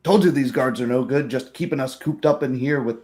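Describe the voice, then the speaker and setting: A man's voice: medium pitch, a little fast, darkish tone, voice pushed up, close to an everyday voice. A man voicing a grumbling, indignant old townsman in a tabletop fantasy game. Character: old tavern man, smiling, grumbling about guards.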